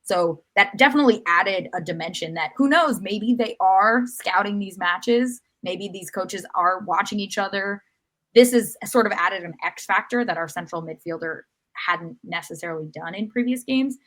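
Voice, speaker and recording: A woman's voice: mid-range voice, normal speed, a bit bright. A woman giving casual soccer analysis as a podcast panelist, explaining things conversationally and correcting herself as she goes.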